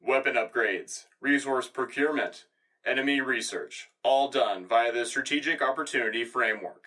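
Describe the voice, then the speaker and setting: Man acting radio drama news anchor, bright forceful tone, grandiose, polished, scripted war bulletin, a bit distant from mic. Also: medium pitch, normal speed. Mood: proud, rousing. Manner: polished, grandiose announcer delivery. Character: upbeat male propaganda radio news anchor in space war. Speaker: radio show host. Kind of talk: scripted broadcast news narration.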